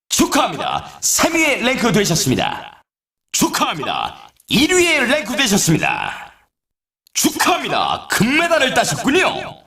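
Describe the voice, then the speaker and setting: An excitable male video game announcer calling snowboard races. His voice sits high and swings dramatically up and down. The phrasing stays formal and polite, delivered with exclamatory, showy flair. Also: gravelly, roomy sound, heavily acted.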